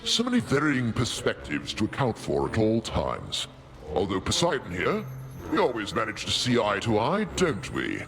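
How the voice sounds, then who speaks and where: An actor playing a stately, controlling king of the gods in a video game, speaking in a regal, measured, pointed manner. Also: lowish voice, huge pitch swings, creaky, a bit bright, faint music behind, full character voice.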